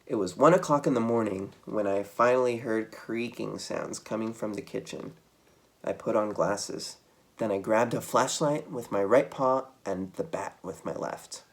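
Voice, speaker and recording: A man reading a children's book aloud as a storytime reader, with dramatic, expressive delivery. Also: fairly low pitch, moderate pace, vocal fry.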